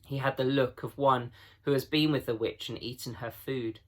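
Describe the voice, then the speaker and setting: A man doing a gruff, emphatic old-fashioned storybook beaver. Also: mid-range voice, steady tone, moderate pace, close to an everyday voice. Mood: grave, knowing. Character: gruff, blunt male beaver, grave and urgent.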